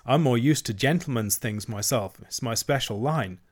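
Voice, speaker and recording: A man's voice: medium pitch, normal speed, lightly acted. A man voicing a boastful male toad disguised as a washerwoman, in a raised put-on voice, airy and bragging. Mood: nervous. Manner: airy, bragging, then nervous.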